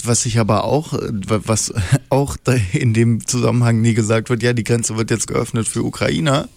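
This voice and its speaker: A man's voice: medium pitch, steady tone, normal speed, creaky, a little mellow, dry recording. A man hosting a radio show, talking casually in an informal, outspoken and sometimes crude way. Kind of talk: casual panel discussion.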